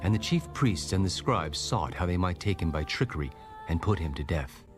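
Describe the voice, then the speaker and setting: A man narrating a dramatized audio Bible as a solemn storyteller, formal and measured. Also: low voice, lively intonation. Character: solemn male scripture narrator. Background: clear background music.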